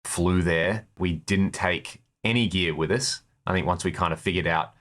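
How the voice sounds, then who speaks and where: Man, Australian accent, low-pitched voice, conversational storytelling, interview guest. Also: moderate pace, fairly bright tone. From Perth, Australia. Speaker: interview guest, guitarist in a touring band.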